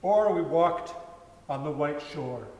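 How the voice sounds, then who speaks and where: A man narrating a story in a slow, measured dramatic reading. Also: a little high, lively intonation, dark tone. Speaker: reader. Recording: echoey hall from far off.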